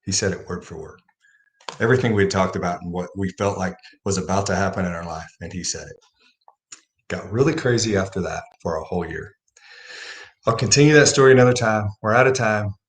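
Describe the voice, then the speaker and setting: A man's voice: low-pitched, a little fast. A man hosting a livestream, talking casually about faith and telling a personal story with rising emphasis. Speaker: livestream host.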